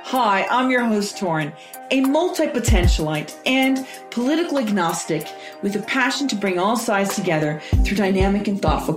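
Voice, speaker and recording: A woman's voice: mid-range voice, fairly bright tone, breathy edge. A woman hosting a podcast, reading an upbeat scripted welcome and then introducing her guest with enthusiasm. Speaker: podcast host.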